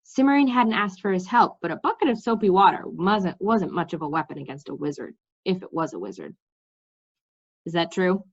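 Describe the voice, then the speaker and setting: A woman reading a children's fantasy story aloud in an expressive, informal way. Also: lowish voice, fairly quick, slightly rough. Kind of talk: story read-aloud with asides to listeners. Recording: slightly dull, lo-fi sound.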